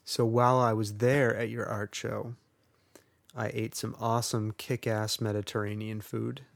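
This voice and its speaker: A man's voice: fairly low pitch, little pitch movement, normal speed, vocal fry, no room sound. A man co-hosting a podcast in casual chat, rambling and wry, poking fun at himself. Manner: wry, rambling and self-deprecating. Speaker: podcast co-host.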